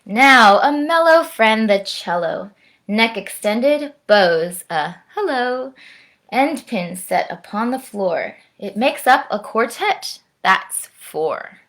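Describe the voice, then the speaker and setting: Slow-paced woman presenting children's storytime. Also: fairly high pitch. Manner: slow, expressive, addressing children directly. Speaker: storytime presenter, orchestra musician. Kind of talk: children's read-aloud and friendly chat to camera. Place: at home, indoors.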